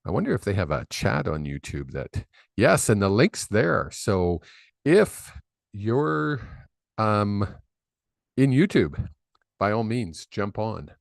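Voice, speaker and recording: A man's voice: on the low side, sing-song, takes it slowly, vocal fry, long pauses, no room sound, clean studio sound. A man hosting a live psychic reading stream, chatty and rambling with lots of fillers, on a desk microphone. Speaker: psychic medium host. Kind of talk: casual live-stream chat. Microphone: desk microphone on a video call.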